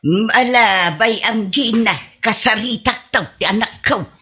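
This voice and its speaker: A woman's voice: fairly high pitch, unhurried, pushed and bright, breathy edge, exaggerated voice. A woman playing a weary, plaintive mother in an Ilocano radio comedy drama, with an Ilocano accent. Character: weary mother of a troublesome grown son.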